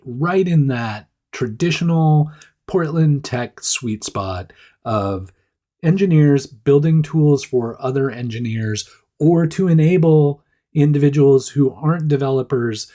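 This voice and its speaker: Stuffy-nosed man chatting startup news. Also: mid-range voice. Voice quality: nasal. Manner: chatty, animated asides, mock-voices others. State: stuffed up, rough voice. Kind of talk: casual weekly news roundup.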